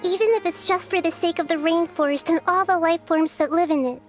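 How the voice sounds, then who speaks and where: High-voiced gentle child goddess. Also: female voice, brisk, faint music behind. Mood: earnest, compassionate. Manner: calm, reassuring, earnest. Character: gentle, wise child-sized girl deity of the forest. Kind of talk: video game voice acting.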